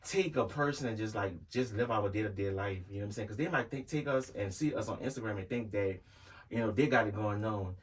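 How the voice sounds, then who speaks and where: A man's voice: on the low side, a little fast, distant mic, rough recording. A man, talking candidly as an interview guest and repeating himself earnestly. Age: 30s. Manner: earnest, repeats himself, heavy with "you know what I'm saying". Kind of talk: casual candid conversation.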